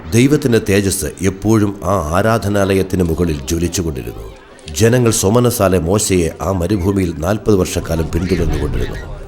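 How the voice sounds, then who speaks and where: Deep-voiced male story narrator. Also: expressive, moderate pace, darkish tone. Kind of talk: scripted dramatic story narration.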